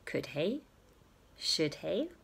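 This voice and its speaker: Slow, very expressive woman storyteller. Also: deep voice, vocal fry, husky. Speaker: storyteller reading aloud to children. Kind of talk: animated children's story narration.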